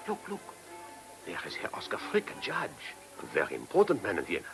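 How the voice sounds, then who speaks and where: A flustered Viennese policeman in an old radio drama. His voice is very deep and swings animatedly, with a halting, deferential delivery and a Central European accent. Music plays clearly behind him. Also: huge pitch swings, heavily acted. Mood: puzzled, agitated. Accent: Central European, German-speaking.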